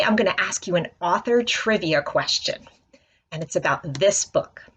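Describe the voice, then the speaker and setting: Lady author doing book trivia for kids, raspy mid voice, really animated, storytelling style. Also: medium pitch. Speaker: children's author. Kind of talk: presentation to young readers.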